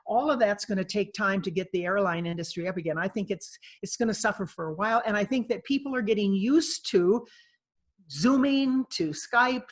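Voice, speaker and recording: A woman author speaking as a guest on a video call, chatty and animated, laughing often. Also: medium pitch. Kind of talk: video call interview, conversational.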